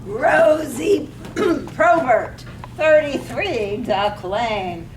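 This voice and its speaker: A woman playing a bawdy dead woman's ghost in a poetic radio drama, brazen, teasing and drawn-out. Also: a little high, expressive. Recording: roomy stage, far mic, clear noise.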